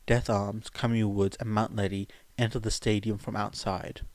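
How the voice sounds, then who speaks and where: A man's voice: on the low side, flat delivery, normal speed, no room sound. Man reading and chatting, dry close sound. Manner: informal, rambling, self-deprecating. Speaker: podfic reader. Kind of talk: amateur story narration with sung verses, casual rambling chat afterwards.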